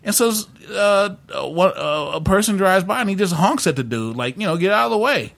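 A man with a slightly high voice and very lively intonation chats casually as a podcast co-host. He tells things haltingly, stumbling and repeating words as he goes. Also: creaky. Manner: halting, stammering delivery with many repeats. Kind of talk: casual chat, telling an anecdote.

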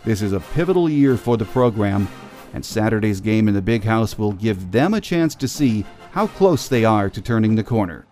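A man's voice: lowish voice, animated, normal speed, warm tone, faint music behind. An American man hosting a sports podcast, delivering a scripted monologue. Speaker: podcast host.

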